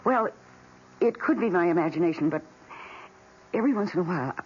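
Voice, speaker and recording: A woman's voice: fairly low pitch, animated, a little fast, close to an everyday voice. An actress playing a gushing, eccentric housewife in her thirties, speaking hesitantly in a radio drama. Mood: hesitant, confiding. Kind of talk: 1970s radio drama acting. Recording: dull, noisy old radio broadcast.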